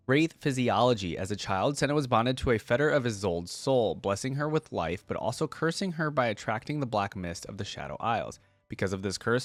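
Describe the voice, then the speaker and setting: Male reaction host, mid-pitched, reads lore aloud with casual asides, dry recording. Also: no room sound. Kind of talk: casual commentary while reading lore aloud.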